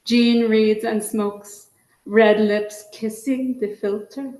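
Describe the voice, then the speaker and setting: Slow, measured woman reading poetry. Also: on the high side, fairly even intonation. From Trinidad. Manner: slow, measured reading with soft, dropping line endings. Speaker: featured poet. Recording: echoey room.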